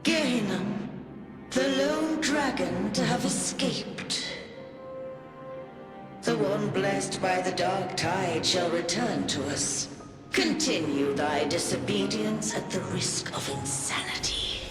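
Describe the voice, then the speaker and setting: A woman's voice: medium pitch, slow, lightly acted. A woman playing an imperious false deity in a video game, solemn and coldly possessive, with grandiose archaic speech. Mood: ominous menace. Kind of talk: scripted video game voice acting. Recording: echoing voice with music underneath.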